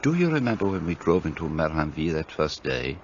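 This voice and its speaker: A man's voice: rumbling low, sing-song, fairly quick, muffled recording, a little hiss or hum. An actor playing a shrewd, fussy Belgian detective in a radio play, speaking precisely and courteously as he lays out his reasoning. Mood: explaining.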